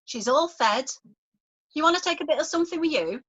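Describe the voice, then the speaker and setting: A woman playing a fond, fussing mother in her fifties in a script table read, chatty and gently teasing. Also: sits high, lively intonation, fairly quick, dull audio. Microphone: video call.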